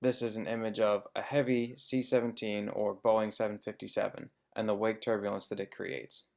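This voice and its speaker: A man with a fairly low voice and a heavy creak walks through an informative slide talk. He explains things in plain, practical terms, like someone who does the job himself, with a little dry humour. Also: level tone, normal speed, a little mellow. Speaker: air traffic controller giving a presentation.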